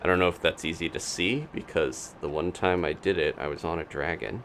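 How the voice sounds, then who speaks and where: Man, deep voice, creaky fry, casual gameplay commentary, musing to himself, music in the background. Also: brisk, a bit bright, a little hiss or hum. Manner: musing to himself, thinking aloud. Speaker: video game streamer.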